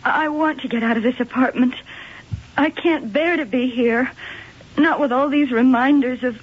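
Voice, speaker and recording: An actress playing a grieving, horrified young woman, halting and fragile, in an old-time radio drama. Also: sits high, breathy edge. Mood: grief-stricken. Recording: tinny, phone-like old radio recording.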